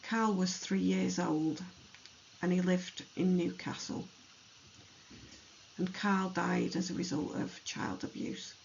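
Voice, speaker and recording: Hoarse, gravelly podcast host; slow, reflective delivery; slightly dull recording with faint hiss. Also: medium pitch, fairly even intonation. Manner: slow, thoughtful, with many pauses and ums. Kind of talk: educational true-crime narration.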